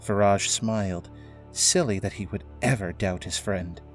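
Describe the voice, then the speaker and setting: A man's voice: lowish voice, measured pace. A man reading scripted narration for an audio drama, in a storytelling style. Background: faint music.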